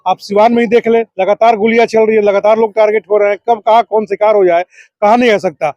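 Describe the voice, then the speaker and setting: A man's voice: high-pitched, fairly even intonation, fast, darkish tone, dry recording, highs cut off. A man answering as a political activist, oratorical, building his points in repeated phrases and lists. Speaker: political activist, district committee member.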